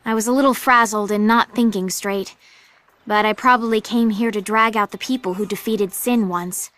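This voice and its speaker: A woman's voice: medium pitch, steady tone, normal speed, fairly bright tone, close to an everyday voice. A woman acting a brash, exasperated young woman in an audio drama, sarcastic and blunt as she talks and narrates. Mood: wry, puzzled.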